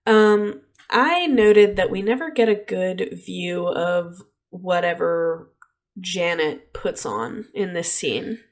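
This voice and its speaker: Husky sick-sounding woman cohosting a podcast, lowish voice, takes her time, laughs a lot. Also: takes it slowly. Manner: laughs often, chatty and opinionated. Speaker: podcast co-host. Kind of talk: casual chat.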